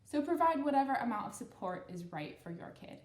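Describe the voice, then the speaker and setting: A woman's voice: high voice, big pitch swings, brisk, a little mellow. Echoey-room woman hosting kids' storytime. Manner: simple, encouraging explanations addressed to children. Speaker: children's storytime host and craft instructor. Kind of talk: read-aloud story and step-by-step craft instruction for kids. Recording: roomy, echoey room sound.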